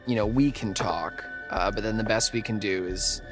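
A man's voice: on the low side, lightly acted, poor audio. An actor playing a nerdy young male camp counselor who rambles and stalls with fillers, in a video game. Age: young adult. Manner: rambling, halting with many fillers. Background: music.

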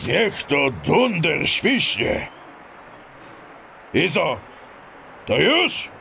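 An actor playing a grumpy, irascible older police chief in a children's audio drama, gruff and exasperated as he scolds. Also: sits high, huge pitch swings, extremely slow, bright, forceful tone, a little hiss or hum, heavily acted. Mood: grumbling. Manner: gruff, exasperated, colourful old-fashioned scolding.

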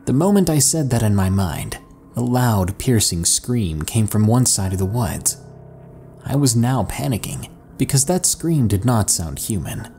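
A low-pitched man narrates a scary story with slow, hushed delivery and dramatic pauses. His intonation rises and falls with lively swings. Faint music plays quietly underneath. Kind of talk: scripted horror narration. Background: soft background music.

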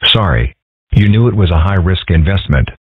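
A calm, philosophical fox boy played by a man in a comic strip cartoon, measured and analytical. The voice is low and husky, through a thin phone-like recording. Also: no echo. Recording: thin, band-limited, phone-like sound.

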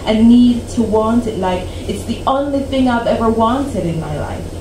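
Woman, about forty, spoken-word poet, deliberate pauses, distant camera sound, air-conditioner hum. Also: fairly high pitch, animated, echoey room. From Canada. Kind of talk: poetry reading. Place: small cafe stage before a seated audience. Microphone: stand mic, recorded by a camera at the back.

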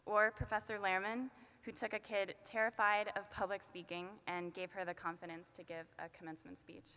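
A young woman in her twenties giving a commencement speech at a podium, earnest and measured with light self-deprecating asides. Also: medium pitch, little pitch movement, noisy background. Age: 20s. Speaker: student commencement speaker. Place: large arena at a podium. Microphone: podium microphone. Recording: long arena reverb.

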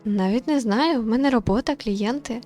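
A woman reading a tired, reserved young working woman in a visual novel, quiet, polite and hesitant. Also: fairly high pitch, moderate pace, faint music behind, lightly acted.

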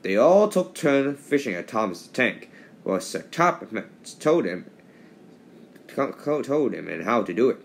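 Man reading aloud, lively pitch swings. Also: a little slow, vocal fry, a little hiss or hum. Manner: stumbles and repeats words while reading. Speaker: storybook reader. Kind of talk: read-aloud children's story.

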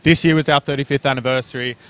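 A man in his thirties, a brand representative, pitching products upbeat with some fillers, on a handheld mic in an indoor expo hall. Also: steady tone, fast talker, a bit bright, clean tone.

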